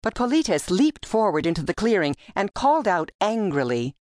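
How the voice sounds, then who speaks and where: A woman narrating a literary audiobook with measured, deliberate phrasing, recorded in a studio. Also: mid-range voice, very animated, darkish tone, dry recording. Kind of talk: scripted literary storytelling narration.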